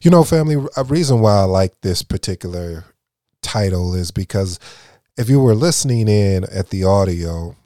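A man hosting a motivational podcast, talking straight to listeners in a mellow radio voice, calling them family and circling back over his points. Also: low voice, expressive, moderate pace, no room sound, clean studio sound. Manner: preachy, addresses listeners as family, repeats himself. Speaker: podcast host.

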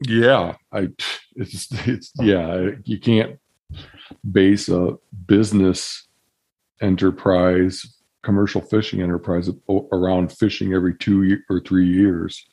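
A man hosting a podcast, asking casual questions in a halting way, searching for words. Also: deep voice, fairly even intonation, dark tone, breathy edge, rough recording. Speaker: podcast host.

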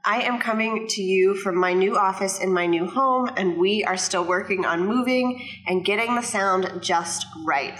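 A woman's voice: mid-range voice, a bit bright, roomy sound. A woman hosting a podcast, reading a friendly scripted intro and asking questions, recorded in her new home office. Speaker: podcast host.

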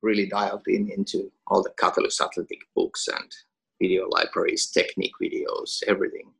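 A man's voice: deep voice, flat delivery, brisk, gravelly, fairly bright tone, breathy edge, low-quality recording. A Finnish man who is a gym owner and coach, chatting casually in English with a Finnish accent and laughing between phrases. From Finland. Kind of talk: casual podcast conversation.

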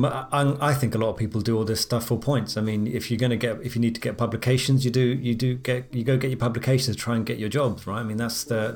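A man's voice: medium pitch, a little mellow. Chatty man hosting a podcast. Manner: chatty, rambling with many "I mean"s. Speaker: podcast host, radiologist. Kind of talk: casual podcast chat.

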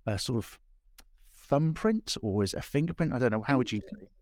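A man's voice: medium pitch, expressive, a little fast, no room sound. Hesitant male podcast host, dry sound. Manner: hesitant, many ums and restarts. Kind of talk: casual podcast interview.

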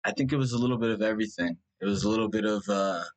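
A young man from India, Punjabi his first language, talks as a guest in a rambling, searching way, leaning on "like" and starting over often. His voice sits a little low. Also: very fast. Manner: rambling, full of "like" and restarts. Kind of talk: reflective personal conversation.

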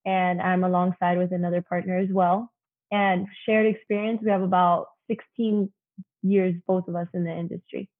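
A young woman in her twenties with a youthful, slightly husky voice, talking casually as an interview guest. Her speech is full of "you know" fillers, and the sound comes through a thin, phone-like line. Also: lowish voice, level tone, moderate pace. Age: 20s.

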